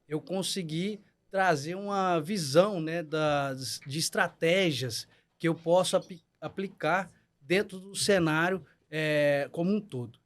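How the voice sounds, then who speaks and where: A man from Minas Gerais, a guest talking easily and plainly about his career. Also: on the high side. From Minas Gerais, Brazil. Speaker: company co-founder, guest. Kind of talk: casual podcast interview.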